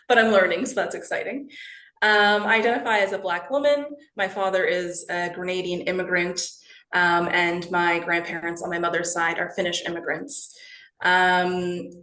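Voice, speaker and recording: A woman's voice: on the low side, fairly bright tone, reverberant. A woman in her thirties from Toronto, giving an academic talk remotely in a conversational way, with frequent fillers and self-corrections. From Toronto, Canada. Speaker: researcher giving a colloquium talk. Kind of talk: academic presentation.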